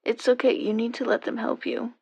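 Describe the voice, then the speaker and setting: Woman as a calm, even-toned teenage boy. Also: a little high, a little fast, vocal fry, husky, lo-fi sound. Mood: calm, soothing. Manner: gentle, reassuring, soothing. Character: calm, soothing teenage boy talking over phone.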